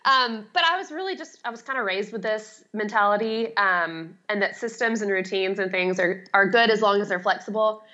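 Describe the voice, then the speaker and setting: A woman's voice: mid-range voice, moderate pace, hardly any pauses, bright, forceful tone, muffled recording. A middle-aged woman talking casually as an expert guest in an interview, with a chatty, emphatic, self-deprecating way of explaining. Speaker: guest, home organizing expert.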